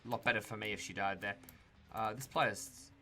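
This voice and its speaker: A man's voice: on the low side, brisk. A young Australian man giving casual commentary over his own gameplay, talking to himself and the players and swearing now and then. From Tasmania, Australia. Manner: relaxed, talks to himself and the players, mild swearing. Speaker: gaming YouTuber.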